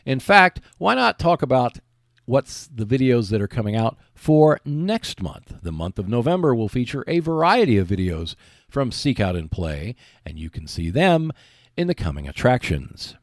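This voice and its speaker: A man's voice: mid-range voice, big pitch swings, moderate pace, no room sound, clean studio sound. A man hosting a solo podcast, delivering prepared announcements and commentary. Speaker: podcast host.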